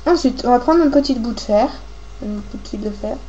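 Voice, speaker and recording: A woman with a cold gives a casual, halting hands-on craft tutorial, thinking aloud as she works. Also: on the high side, mellow, highs cut off. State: has a cold, coughing. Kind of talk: casual hands-on tutorial, talking while working. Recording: clearly noisy homemade recording with clicks.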